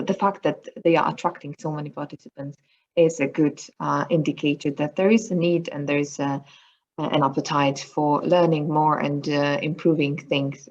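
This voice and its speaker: A woman's voice: low voice, a little mellow, muffled recording. A woman moderating an online conference Q&A over a video call, speaking hesitantly with many fillers.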